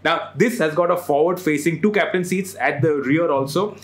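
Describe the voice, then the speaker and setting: A man's voice: on the high side, normal speed. A man in his thirties with an Indian English accent, hosting a casual motoring podcast, explaining with enthusiasm and jokes. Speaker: podcast host, motoring editor. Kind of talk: casual chat. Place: studio with bookshelves and car photos.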